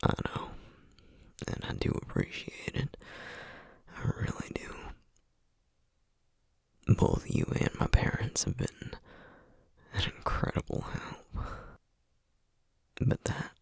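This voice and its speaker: A man's voice: dry recording, natural voice. Man acting a whispering, burnt-out Southern sorcerer dad. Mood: grateful, apologetic. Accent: Southern American. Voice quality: hushed, breathy whisper. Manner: halting, confiding, with sighs and trailing pauses. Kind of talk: whispered ASMR roleplay.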